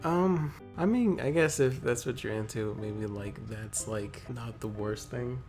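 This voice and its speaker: A man's voice: mid-range voice, unhurried, a little mellow. A man in casual banter and reading aloud, hesitant and wryly joking. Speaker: co-host reading wiki entries aloud. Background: background music.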